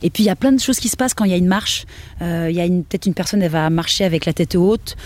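A middle-aged woman giving a candid personal account in an earnest, halting way, thinking aloud. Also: fairly low pitch, darkish tone, dry recording. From Portuguese family background. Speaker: interview guest, dancer and choreographer.

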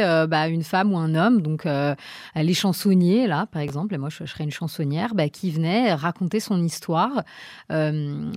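Female radio guest, French accent, lowish voice in a dry studio, conversational and explanatory with thoughtful pauses. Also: darkish tone, dry recording. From French-Italian. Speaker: guest, stage performer and singer.

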